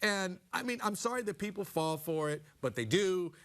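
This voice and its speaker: A man's voice: sits high, lively intonation, moderate pace, a bit bright, clear, resonant tone. A man giving his opinion as a panelist on a talk show, conversational and rephrasing as he goes.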